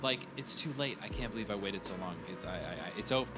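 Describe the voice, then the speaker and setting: A man's voice: fairly low pitch, fast. A man in his thirties giving earnest, encouraging advice to viewers as a digital artist, acting out the voices of the people he quotes. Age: 30s. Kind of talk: motivational talk to viewers. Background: clear music underneath.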